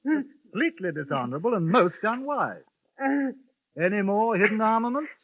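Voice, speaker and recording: Calm and courteous, this gentleman gunman speaks with dry irony, his voice sitting a little high and swinging widely in pitch. The old radio recording is tinny and narrow, like a phone line. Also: moderate pace, breathy edge, exaggerated voice. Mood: coolly ironic. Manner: dry, courteous, coolly ironic. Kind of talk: 1950s radio drama acting.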